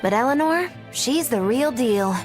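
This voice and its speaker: Theatrical game sage, highish female voice, animated lilt, breezy, knowing. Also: a little slow, soft background music.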